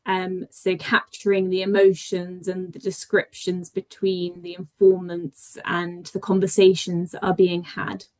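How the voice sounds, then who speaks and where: A woman's voice: fairly low pitch, completely flat delivery, unhurried, few pauses, darkish tone. A woman with a British accent, from Spain, presenting her research project in a thoughtful talk with frequent fillers and self-corrections. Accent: British English. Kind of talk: conference talk.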